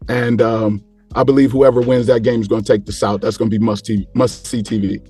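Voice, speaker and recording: A man chatting casually about sports as a panel guest, repeating words haltingly as he builds his points. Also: medium pitch, flat delivery, dark tone, slightly rough, soft background music.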